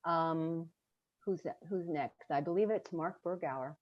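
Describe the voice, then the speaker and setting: A woman with a slightly low voice moderates a video call panel, coming through dull, lo-fi audio. She is brisk and polite as she hands over to each speaker.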